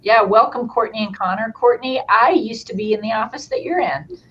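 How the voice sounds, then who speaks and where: A woman co-hosting a college talk show, welcoming guests with quick, friendly affirmations. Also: fairly high pitch, animated, a bit bright, highs cut off. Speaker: co-host. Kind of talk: campus talk show, casual interview.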